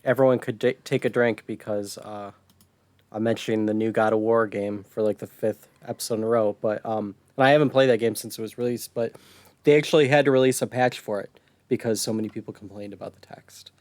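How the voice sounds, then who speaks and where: A man's voice: on the low side, fairly even intonation, brisk, darkish tone, dry recording. A man chatting casually about video games as an easygoing podcast co-host.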